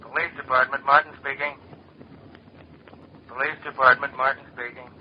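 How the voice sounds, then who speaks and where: A man's voice: medium pitch, normal speed, lightly acted. An actor playing a businesslike male police desk sergeant in a 1940s radio drama, speaking in an official way. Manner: flat, official. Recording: muffled, noisy 1940s radio recording.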